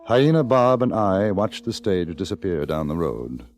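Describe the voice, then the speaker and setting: A sober frontiersman recounts his story in a very deep voice. It is scripted radio Western narration with clear music underneath. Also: very animated, a little slow, darkish tone, no room sound, heavily acted. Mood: sober, measured.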